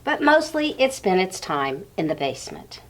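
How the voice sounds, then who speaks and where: Lower-voiced woman reading a storybook aloud. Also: very animated. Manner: pauses between short phrases, addresses young listeners. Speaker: storybook reader for children. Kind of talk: read-aloud storytelling for young children.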